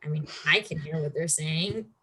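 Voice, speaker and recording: Bright-voiced woman in casual chat. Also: sits low, normal speed, husky. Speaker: book discussion participant. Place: video call.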